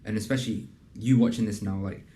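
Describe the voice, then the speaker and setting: A young man hosting a casual interview and pitching his program in an earnest, conversational way, with plenty of fillers. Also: steady tone, quick pace, warm tone, a little hiss or hum. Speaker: host and program founder. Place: in a building in a city business district.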